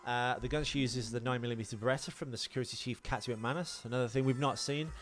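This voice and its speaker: A man's voice: mid-range voice, fairly even intonation, fast talker, a bit bright. Man chatting casually over music. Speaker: podcast co-host. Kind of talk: casual film chat.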